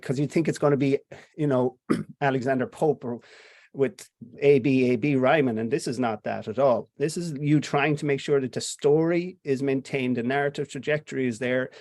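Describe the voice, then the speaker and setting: A man's voice: medium pitch, darkish tone. An Irish man, a playwright guest, giving long reflective answers in an interview. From Ireland. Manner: reflective, explains at length with restarts.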